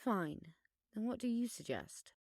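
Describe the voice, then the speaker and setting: A woman's voice: on the high side, sing-song, unhurried, a little mellow, no echo, clean studio sound. A woman playing a bossy, fussy, self-important schoolgirl in a scripted audio drama, haughty and theatrical in delivery. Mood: bossy, picky.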